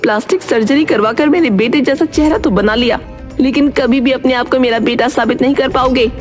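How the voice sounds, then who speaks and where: Lady doing a protective rich mom, high emphatic voice, very emotional story acting, music behind. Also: brisk, close to an everyday voice. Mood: accusing. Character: wealthy suspicious mother, fiercely protective, emotional.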